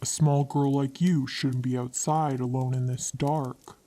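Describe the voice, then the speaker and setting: Woman putting on a creepy, calm stranger. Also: extremely low pitch, measured pace, voice pushed down. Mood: falsely friendly. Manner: soft, falsely friendly, insistent. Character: creepy calm stranger, man in his thirties.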